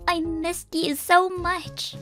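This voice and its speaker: A man's voice: extremely high pitch, level tone, slow, pitched above the performer's own voice. A man voicing a lovestruck, delusional young female stalker in a manga dub, with a sugary, cooing, clingy delivery. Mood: gleeful, clingy. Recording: music behind the voice.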